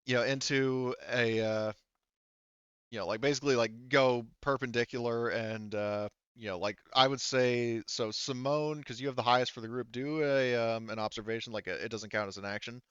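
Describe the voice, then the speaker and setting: A man running a tabletop roleplaying game as game master, improvising scenes and rules in a halting, stop-and-start way. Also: medium pitch, steady tone, fairly bright tone, smooth, no room sound, lo-fi sound.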